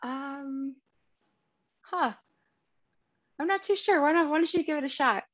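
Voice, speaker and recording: A woman's voice: high voice, measured pace, a bit bright, no room sound. A woman volunteering in an online class, talking casually and tentatively as she thinks aloud. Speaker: workshop volunteer. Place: online video call. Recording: dull lo-fi call audio, dry.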